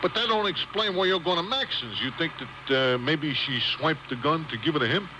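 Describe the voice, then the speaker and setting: An actor playing a talkative, streetwise cab driver in a 1950s radio drama, mixing fancy words with slang. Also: male voice, fairly high pitch, a little fast, bright, forceful tone. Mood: genial, nosy. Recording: dull, hissy old radio tape.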